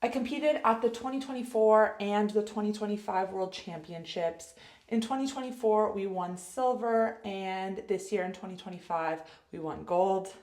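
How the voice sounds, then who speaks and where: A young woman with a Canadian accent talks in a chatty, upbeat way, trading fun facts as she gets ready. Her voice sits at an ordinary middle pitch and bounces off the walls of an echoey room. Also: moderate pace, darkish tone. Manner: chatty, upbeat, laughs between points. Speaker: health coach. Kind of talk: get-ready-with-me vlog chat.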